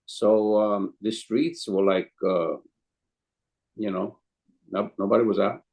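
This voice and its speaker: An older man from southern Italy speaks English with an Italian accent in a somewhat low voice. He tells his story in a halting, rambling way, full of pauses and fillers. His English phrasing is not native. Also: steady tone, creaky, a little mellow. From Calabria, Italy. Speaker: guest, chef and caterer. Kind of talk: casual interview storytelling.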